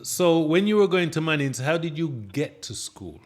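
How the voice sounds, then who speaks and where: A man's voice: on the high side, big pitch swings, normal speed. A man hosting a podcast interview, who speaks with a mixed accent that is partly Jamaican and talks in a chatty way with frequent restarts. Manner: chatty, stammering restarts, switches into patois. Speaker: podcast host. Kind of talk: casual interview.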